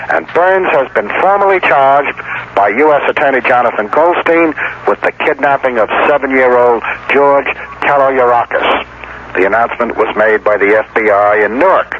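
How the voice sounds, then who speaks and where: An American man delivering a scripted radio news report as a reporter. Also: medium pitch. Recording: dull, lightly noisy vintage radio broadcast.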